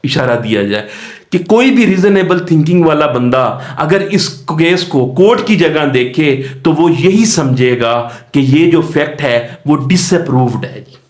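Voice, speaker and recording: A male law teacher talks slowly and patiently in a slightly high voice. He walks through each term one at a time and repeats the key phrases. The room gives his voice a noticeable echo. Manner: explains step by step, mixing English legal terms into Urdu-style Hindi; calm, repeats key phrases and checks in with "theek hai ji". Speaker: law lecturer. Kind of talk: recorded law lecture. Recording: echoey room.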